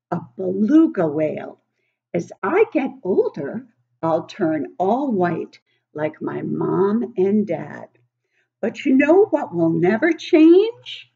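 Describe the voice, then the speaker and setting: A proud, cheerful baby animal from a storybook , read by an older woman. It presents itself emphatically, with slow delivery and big swoops in pitch. The sound is slightly dull. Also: very animated, gravelly, dark tone, slightly rough, heavily acted. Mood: friendly, eager. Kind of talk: picture-book character reading.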